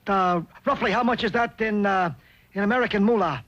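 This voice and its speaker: A man voicing a young ugly duckling in an old cartoon, a wisecracking, slangy complainer with a creaky, sharp voice. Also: sits high, fairly quick, highs cut off. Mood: hesitant. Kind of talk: 1960s cartoon voice acting. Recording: noisy old TV cartoon soundtrack.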